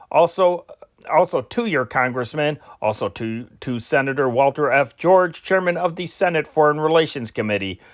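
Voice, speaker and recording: A man's voice: on the high side, no room sound, clean studio sound. A man reading old newspaper stories aloud in a plain amateur style, sometimes stumbling over names and restarting. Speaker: news reader.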